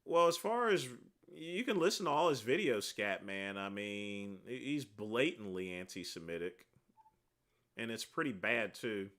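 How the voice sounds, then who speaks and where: A man hosting a casual livestream, answering viewer questions one after another in a rambling way, with a deep voice. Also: measured pace, a bit bright. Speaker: livestream host answering chat.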